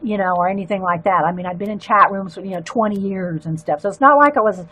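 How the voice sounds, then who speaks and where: An older woman, chatting in a loud, carrying voice and rambling into asides while she colors on a livestream. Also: medium pitch, fast talker. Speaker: livestream artist. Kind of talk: casual chat while coloring.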